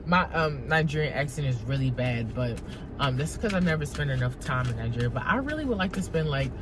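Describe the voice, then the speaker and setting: Woman talking over steady road noise. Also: rumbling low, brisk. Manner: conversational, wandering, speaks straight to viewers as "you guys". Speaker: tarot reader. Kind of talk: casual spoken tarot reading to camera.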